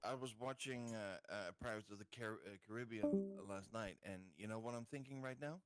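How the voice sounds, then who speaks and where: A man chatting casually as a player in a tabletop game, wry and halting in the way he talks. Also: fairly low pitch, fairly bright tone, smooth. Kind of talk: casual joking game chat.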